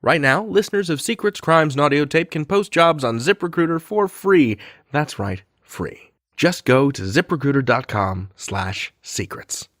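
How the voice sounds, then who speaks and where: Animated man reading podcast ads. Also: on the high side, moderate pace, dry recording, studio quality. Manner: polished, persuasive sales delivery. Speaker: podcast ad announcer. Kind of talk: scripted advertisement narration.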